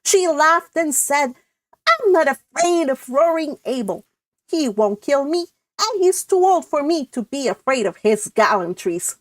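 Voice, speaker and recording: A woman's voice: high voice, expressive, measured pace, dry recording, voice pushed up. Woman doing a whimpering old spinster cousin. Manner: whimpering and pathetic.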